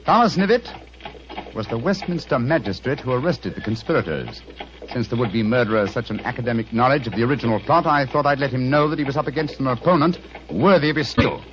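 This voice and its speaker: An actor playing a brisk, masterful gentleman detective with a British accent and clipped, commanding delivery in a 1940s radio drama. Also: mid-range voice, lively intonation, natural voice. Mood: keen, assured. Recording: dull, muffled vintage radio recording.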